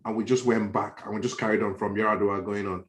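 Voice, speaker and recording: A man's voice: on the low side, steady tone, fast. Nigerian man talking in an echoey room. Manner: emphatic, repeats phrases as he reasons. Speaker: podcast discussion panelist. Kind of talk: conversational political discussion. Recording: roomy, echoey online call.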